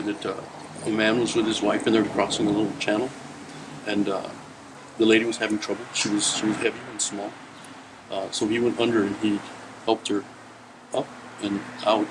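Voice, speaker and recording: Deep, flat-voiced man with air-conditioner hum. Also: fairly quick. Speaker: volunteer. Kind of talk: documentary interview.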